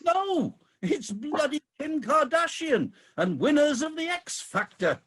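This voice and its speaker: A man's voice: very high voice, big pitch swings, slow pace, fairly bright tone, heavily acted. An actor playing a weary, disillusioned ageing king of the gods in a comic radio play, with a grumbling, theatrical delivery. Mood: disillusioned, exasperated.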